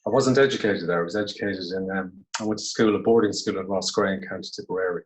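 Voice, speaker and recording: A man in his forties from Cork, his Irish accent worn soft by years abroad. He talks low and easygoing, musing aloud with frequent little asides as he looks back on his career. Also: fast, poor audio. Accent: Irish, softened by years in London. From Ireland, Cork. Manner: reflective, easygoing, many "I suppose" asides. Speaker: actor, guest. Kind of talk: casual interview over video call.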